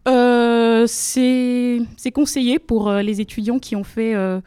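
A woman's voice: on the high side, steady tone, slow pace, warm tone, smooth, no echo, clean studio sound. A young woman from the Democratic Republic of the Congo, a law student guest on a radio interview, speaking formally with many hesitations. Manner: hesitant, many fillers, careful formal phrasing. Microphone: studio microphone.

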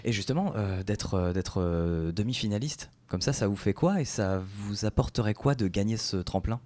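Hesitant man interviewer, lowish voice. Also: expressive, darkish tone, no room sound. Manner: hesitant, halting questions. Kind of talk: interview questions.

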